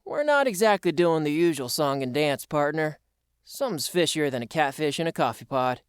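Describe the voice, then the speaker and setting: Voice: mid-range voice, expressive, moderate pace, smooth, no echo, studio quality, close to an everyday voice. An actor playing a friendly, slangy cartoon dude with a breezy, exuberant delivery. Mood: breezy, suspicious. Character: slangy, hyped-up American dude stereotype, friendly and patriotic. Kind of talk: scripted character dialogue.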